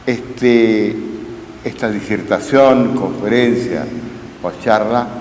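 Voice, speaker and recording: A Chilean man giving a parish lecture, speaking slowly and reading quotations with measured emphasis. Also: lowish voice, darkish tone. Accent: Chilean Spanish. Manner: slow, measured, reads quotes with emphasis. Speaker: lay Carmelite speaker. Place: parish church. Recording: echoey church hall, faint noise.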